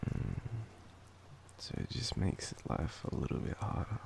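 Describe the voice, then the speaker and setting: Deep, very gravelly Australian man with a cold. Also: sing-song. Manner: soft-spoken, playful, affectionate, halting. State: sick with a cold. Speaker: audio roleplay performer playing an affectionate sick boyfriend. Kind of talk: intimate boyfriend roleplay ASMR. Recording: background noise of rain. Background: rain ambience.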